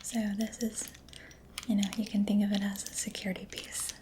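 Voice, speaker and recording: A woman speaking in a soft, breathy whisper for an ASMR roleplay, playing a gentle, reassuring mechanic, close to the microphone. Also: mid-range voice, level tone, moderate pace.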